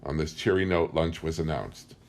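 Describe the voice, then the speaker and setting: A man's voice: rumbling low, moderate pace, vocal fry. A man reading a book aloud as scripted story narration. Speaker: audiobook reader.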